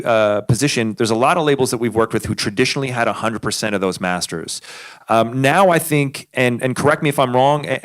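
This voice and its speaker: A man moderates a panel at a conference. He talks loosely and thinks aloud, with plenty of fillers. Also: mid-range voice. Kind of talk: panel discussion.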